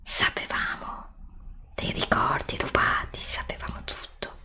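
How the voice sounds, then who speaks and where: A gentle royal of a fairy-tale kingdom played by a woman speaks in a slow whisper. The manner is solemn, grateful and reassuring, made for ASMR roleplay.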